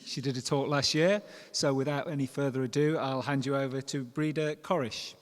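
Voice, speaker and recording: A man's voice: mid-range voice, fairly even intonation, normal speed. Man introducing a speaker. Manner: brief formal introduction. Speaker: festival organiser introducing speaker. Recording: long hall reverb.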